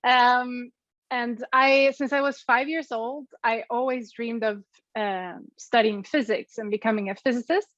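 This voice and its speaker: A woman's voice: a little high, fairly even intonation, a little slow, fairly bright tone, no echo, muffled recording. A woman with a Swedish accent, a guest on an interview over a call, speaking thoughtfully with many ums and likes. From Sweden. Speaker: guest, app co-founder.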